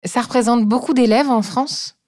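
A woman hosting a podcast, reading a scripted introduction and asking calm, even interview questions. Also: fairly high pitch, normal speed, dry recording, studio quality. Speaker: podcast host.

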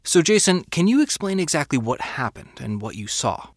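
Calm police detective played by a man, dry sound. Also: fairly high pitch, normal speed, no room sound. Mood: calm, questioning. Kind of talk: audio drama acting.

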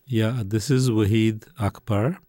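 Older man from Pakistan in his seventies, low and even delivery, formal and dry, close dry mic. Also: no room sound. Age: 70s. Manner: measured, formal, dry replies. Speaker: guest. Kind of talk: podcast interview.

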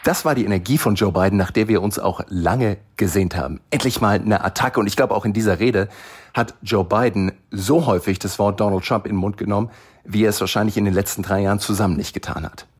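A man's voice: fairly low pitch, fast talker, slightly rough. A man co-hosting a political podcast as a campaign strategist, talking energetically and with emphasis. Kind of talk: political analysis chat.

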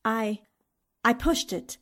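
A woman's voice: fairly high pitch, takes it slowly. A woman playing a plucky, determined newspaper reporter in a 1940s-style radio drama, with an earnest, dramatic delivery. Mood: shaken, recounting.

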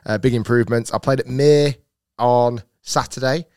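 A man hosting a casual podcast, chatty and enthusiastic, his voice slightly hoarse. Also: mid-range voice, steady tone, moderate pace, a little mellow, dry recording, studio quality. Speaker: podcast host. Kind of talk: casual chat.

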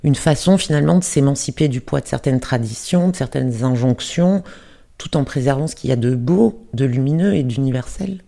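A radio book-show host asking calm, probing questions of an author. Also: medium pitch, fairly quick, creaky. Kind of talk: interview questions.

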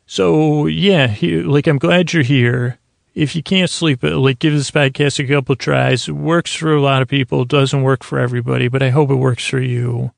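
A man hosting a bedtime podcast in a creaky voice, meandering and halting as he trails off mid-thought. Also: medium pitch, steady tone, dry recording, clean studio sound. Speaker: podcast host. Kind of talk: rambling bedtime monologue to help listeners sleep.

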